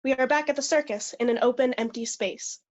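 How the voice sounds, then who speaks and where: A woman reads stage directions as scripted narration. Her pitch is a bit high. The audio is slightly muffled, as from a video call. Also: a little fast, a little mellow.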